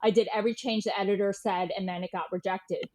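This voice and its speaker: A middle-aged woman in a casual interview, talking in a medium-pitched voice. She is chatty and candid, telling anecdotes with a self-deprecating streak. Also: fairly even intonation, fast talker, a little mellow. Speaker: guest journalist and writer.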